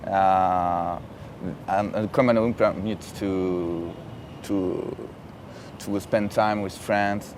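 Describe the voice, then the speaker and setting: A young man from France with a French accent, an exchange student chatting outdoors in halting, simple phrases. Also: sits low, steady tone, background noise. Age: young adult. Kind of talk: casual chat. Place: outdoors on a campus lawn. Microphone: camera at a distance.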